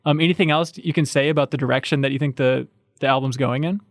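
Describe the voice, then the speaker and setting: A man's voice: medium pitch, steady tone, fast talker, no echo, clean studio sound. A man hosting a podcast, asking loose, joking questions with plenty of fillers. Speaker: podcast host. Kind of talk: casual interview questions.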